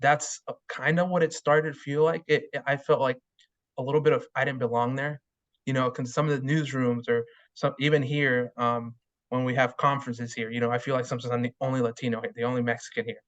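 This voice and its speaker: A man's voice: mid-range voice, little pitch movement, brisk, fairly bright tone, no echo. Reflective man, muffled lo-fi sound. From Washington state, USA. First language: Spanish. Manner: casual, reflective, lots of "you know". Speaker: journalist panelist. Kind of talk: panel discussion.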